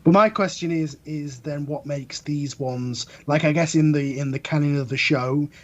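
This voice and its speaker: A man's voice: on the high side, moderate pace, lo-fi sound. A man in a casual podcast discussion, rambling and correcting himself as he goes.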